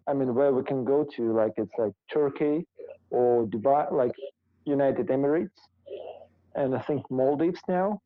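A man from Kazakhstan with a Russian accent, a podcast guest speaking halting English with many fillers. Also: medium pitch, dry recording, phone-quality sound. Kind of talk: casual interview chat.

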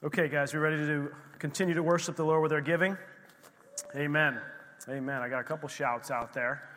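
Bright-voiced pastor, slightly hoarse. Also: male voice, on the high side, fairly even intonation, slight background noise. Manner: emphatic preaching, reads scripture slowly and loud. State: slightly losing his voice. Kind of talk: sermon. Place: church sanctuary. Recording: long hall reverb of a church.

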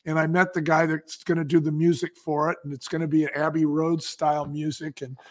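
A man's voice: a little high, little pitch movement, brisk. A man talking as a guest in a casual interview, telling stories about business with enthusiastic, salesmanlike delivery. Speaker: podcast guest, business founder. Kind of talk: casual interview talk, storytelling.

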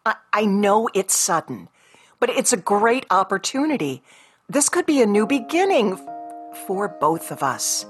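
An actress playing a patient, practical ex-wife in a radio play, with a natural, placating way of talking. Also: female voice, medium pitch, sing-song, a little slow, soft background music. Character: patient, practical career woman, an exasperated ex-wife.

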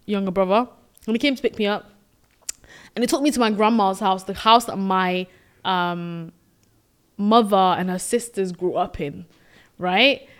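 A woman's voice: mid-range voice, normal speed, long pauses, smooth. A young woman with a British accent, born in Sweden, telling stories in a casual podcast chat and laughing often. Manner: animated storytelling, laughs often. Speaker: podcast co-host.

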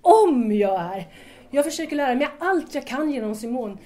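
Actress as eager young student, soft-toned, highish voice. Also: female voice, animated. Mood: admiring. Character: Bianca, eager, admiring young female philosophy student. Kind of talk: audio drama acting.

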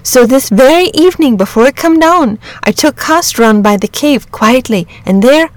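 A woman acts out a rustic shepherd father in a storybook. He is flustered and grumbling, with emphatic country phrasing. The pitch leaps high as he frets, and the sound is close and dry. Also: animated, moderate pace, dry recording. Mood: fearful. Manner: rustic dialect grammar, agitated and emphatic. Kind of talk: storybook character reading.

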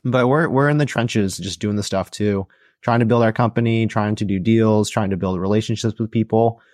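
Monotone low-voiced podcast co-host. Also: male voice, normal speed, no room sound, studio quality. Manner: monotone, steady. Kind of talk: casual podcast chat.

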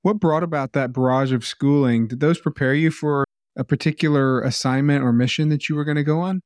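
A man's voice: fairly high pitch, fairly quick, darkish tone, dry recording, clean studio sound. A man hosting a podcast, reading out background details before he asks his interview question. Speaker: podcast host.

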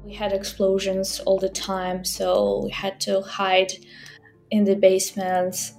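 Soft-spoken Ukrainian woman, slow and even. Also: medium pitch, gravelly, faint music behind. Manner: halting, careful speech with pauses and fillers. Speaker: interviewee, language teacher. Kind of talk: personal account in a podcast interview.

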